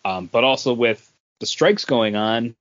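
A man's voice: medium pitch, lively intonation, unhurried, fairly bright tone, no echo, highs cut off. A man co-hosting a podcast in casual conversation. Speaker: podcast co-host. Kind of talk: casual chat.

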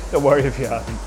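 A man coaching a rowing workout, calling out cues in an upbeat, jokey way, his voice slightly hoarse. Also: mid-range voice. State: a bit hoarse. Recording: music playing behind. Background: workout music.

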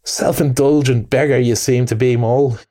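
A man reading aloud voices a cheery, bustling male water rat who talks in a brisk, hearty, encouraging way. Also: medium pitch, steady tone, dark tone, lightly acted. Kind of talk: storybook reading.

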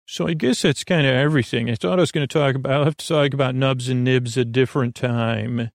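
A man with a creaky voice hosting a sleep podcast, giving a soothing, meandering, hesitant bedtime monologue that keeps drifting off on tangents. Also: mid-range voice, fairly even intonation, quick pace, no echo, studio quality.

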